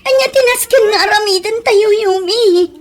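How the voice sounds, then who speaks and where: Very high-pitched fretful Filipino daughter played by a woman. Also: moderate pace. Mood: pleading. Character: fretful, caring young daughter. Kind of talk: Ilocano radio comedy drama acting. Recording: noisy background.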